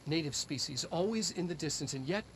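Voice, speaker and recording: Eccentric scientist, halting and higher-pitched. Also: male voice, lively intonation, a bit bright, a little hiss or hum. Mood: earnest lecturing. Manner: halting, deliberate, lecturing. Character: eccentric middle-aged male head scientist, earnest and secretive. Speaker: head of research. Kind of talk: in-world instructional video presentation.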